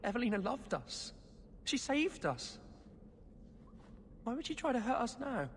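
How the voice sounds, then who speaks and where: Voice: medium pitch, very animated, measured pace, in a large hall, a little hiss or hum. A performer playing a frightened, bewildered orphan boy in a fantasy video game. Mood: bewildered, upset.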